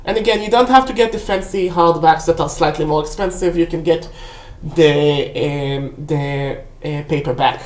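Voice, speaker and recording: Low-voiced Hebrew-speaking woman in echoey room. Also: moderate pace, hardly any pauses, darkish tone, a little hiss or hum. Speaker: book haul vlog host. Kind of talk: casual rambling book review. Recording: roomy, echoey indoor sound.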